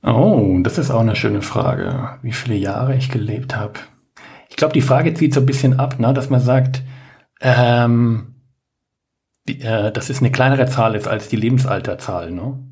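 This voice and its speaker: A man's voice: medium pitch, unhurried, creaky, darkish tone. A man in his late forties, a guest coach on a podcast, talking casually with lots of fillers, restarts and self-mocking jokes. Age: 40s.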